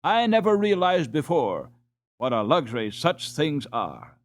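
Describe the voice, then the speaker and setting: A man's voice: on the high side, wildly swooping pitch, measured pace. A man voicing a weary but eager boy leader who heartily rallies his friends in a storybook. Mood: relieved, eager. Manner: exclaiming, hearty.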